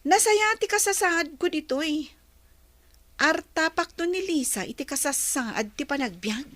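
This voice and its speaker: Actress as ambitious young daughter, very high voice, swooping intonation, proud, sharp-tongued, radio drama. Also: female voice, lively intonation, measured pace, dry recording. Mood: defiant.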